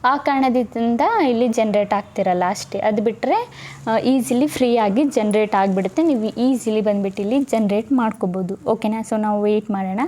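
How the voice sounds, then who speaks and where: A woman's voice: fairly high pitch, moderate pace, warm tone. A woman giving a casual step-by-step tutorial, often checking in with her listeners. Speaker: tutorial host.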